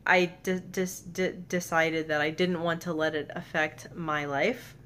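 A young woman talks straight to a nearby camera in her bedroom, low in pitch and earnest. She stutters, repeating first sounds and catching on words before they come out. A faint hiss sits under the voice. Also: fairly even intonation, a little slow, fairly bright tone. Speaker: vlogger. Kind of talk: personal vlog talk to camera. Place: bedroom, indoors. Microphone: camera close in front.

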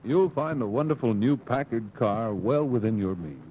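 An American actor playing a polished, confident car salesman in a 1950s industrial film, with a smooth sales-pitch delivery. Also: animated, normal speed, close to an everyday voice. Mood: persuasive. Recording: muffled, hissy 1950s film soundtrack.

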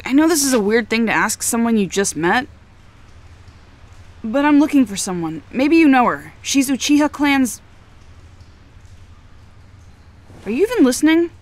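A woman voicing a spirited, stubborn, kind-hearted young ninja hero in an anime game dub, earnest and expressive. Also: high-pitched, normal speed. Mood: curious, chatty.

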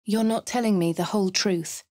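An actress with a posh English accent playing a composed adventurer in a game, speaking in a dry, measured, lightly sardonic way. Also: female voice, mid-range voice, a little mellow, no echo, close to an everyday voice. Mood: wry. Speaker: player character. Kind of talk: game voice lines. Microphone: studio mic.

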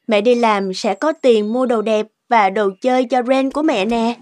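A woman voicing a gentle, sorrowful young mother soothing a child in a cartoon. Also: a little high, moderate pace, natural voice. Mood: tender, sad. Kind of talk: Vietnamese cartoon dubbing. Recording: long reverb, music underneath.

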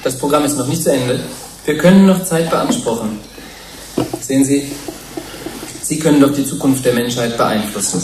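An actor playing a self-important, easily rattled TV interviewer in a German radio play, halting and insistent. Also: male voice, mid-range voice, close to an everyday voice. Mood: defensive. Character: flustered, self-important male TV interviewer, defensive and impatient. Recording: roomy sound with faint noise.

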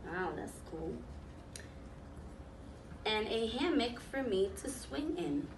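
Slow-paced woman reading to young children. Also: a little high, big pitch swings. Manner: pauses to ask listeners questions and explain. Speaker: volunteer children's story reader. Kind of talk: picture-book read-aloud to young children. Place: small classroom, indoors, seated on floor. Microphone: camera a few metres away. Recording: roomy, distant mic, noticeable background noise.

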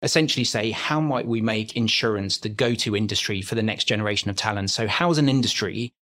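A man's voice: fairly low pitch, fast, a bit bright. A man guest explaining his youth project in an earnest, explanatory way. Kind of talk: explanatory interview talk.

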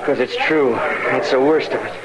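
A man's voice: medium pitch, expressive, normal speed, lightly acted, low-quality recording. An actor playing a hot-tempered, sullen, defensive young American frontier man in a 1950s radio western. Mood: bitter. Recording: old radio recording, loud saloon crowd.

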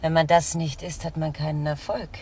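An actress playing a fervently protective care worker in a crime radio play, earnest and intense. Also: female voice, deep voice, little pitch movement, a little fast, warm tone, far from the mic, slight background noise, close to an everyday voice. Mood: earnest, guarded. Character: fervently devoted female care worker protecting a troubled girl. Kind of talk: German crime drama dialogue.

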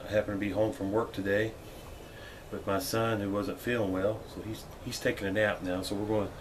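A middle-aged man with a fairly low voice talks in a relaxed, chatty way and often fills pauses with "uh" and "um." Clear background noise from the outdoors sits under his voice on camera audio. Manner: relaxed, chatty, with frequent fillers. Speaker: gear reviewer. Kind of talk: casual show-and-tell demonstration. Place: indoors at a table, then in a backyard.

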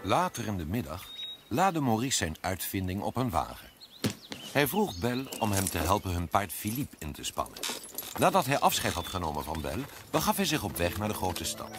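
Low-voiced, animated male storyteller. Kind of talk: scripted fairy-tale narration. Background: music.

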